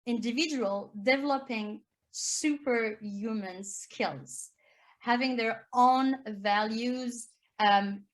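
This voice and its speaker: French-accented woman teacher, earnest presenter, slightly high voice. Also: slow. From France. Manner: earnest, explanatory, rises in pitch to stress key points. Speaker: primary school teacher. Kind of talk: conference talk with slides.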